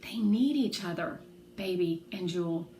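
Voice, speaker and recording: A woman's voice: lowish voice, lively intonation, a little slow, dark tone, distant mic. A woman voicing an earnest, plucky young girl in a children's storybook, speaking candidly. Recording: roomy echo, faint background noise.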